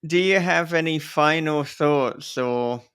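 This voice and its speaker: Guy hosting a podcast interview, voice a bit high, jokey and rambly, chuckles as he talks. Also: slow, fairly bright tone, clean tone. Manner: jokey, rambling, often chuckling through phrases. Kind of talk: casual, joking interview chat.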